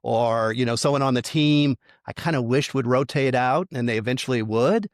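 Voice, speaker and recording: A middle-aged man, a leadership coach, speaking thoughtfully in an interview, measured and reflective with frequent fillers and light laughs. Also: mid-range voice, no room sound, clean studio sound. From United States.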